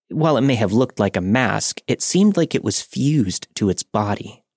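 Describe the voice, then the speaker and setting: A man's voice: fairly low pitch, gravelly, a little mellow, no room sound, clean studio sound. A man narrating a scripted first-person horror story for a podcast.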